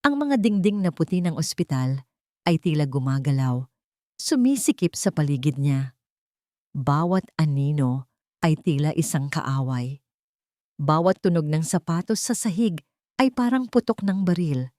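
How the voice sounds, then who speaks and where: Low-pitched woman narrator, dry sound. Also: expressive, measured pace, pauses often, dark tone, dry recording. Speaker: storyteller, narrator. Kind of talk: scripted dramatic story narration.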